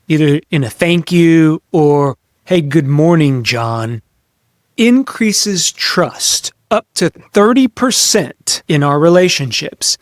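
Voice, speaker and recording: Male podcast host, slightly high voice, dry sound. Conversational business coaching, instructive, stressing key words. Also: no echo. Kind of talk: practical business advice talk to camera or mic.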